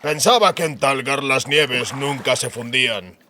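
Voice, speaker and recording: A man's voice: medium pitch, unhurried, bright, forceful tone, a little hiss or hum, voice pushed up. A man voicing a sardonic spymaster count in a dramatized fantasy audiobook, speaking with cool, formal politeness.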